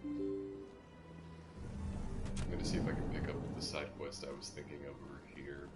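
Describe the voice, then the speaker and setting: Deep-voiced man streaming a game. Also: completely flat delivery, normal speed. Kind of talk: casual live game commentary. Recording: game music behind the voice. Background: video game music.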